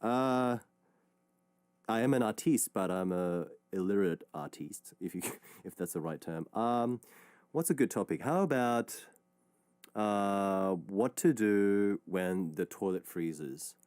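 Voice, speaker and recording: Low-voiced easygoing male radio DJ. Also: slow, smooth, dry recording. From Australia. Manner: relaxed, rambling, many fillers and restarts. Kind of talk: casual radio chat reading listener messages. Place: radio studio. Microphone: studio microphone.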